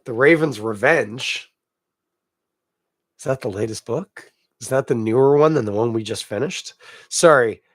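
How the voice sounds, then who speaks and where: Hoarse raspy man hosting a podcast. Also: medium pitch, unhurried, long pauses, no room sound, studio quality. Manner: rambling, self-deprecating, chatting to live viewers. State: hoarse from a cold. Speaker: podcast host. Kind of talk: casual solo podcast chat.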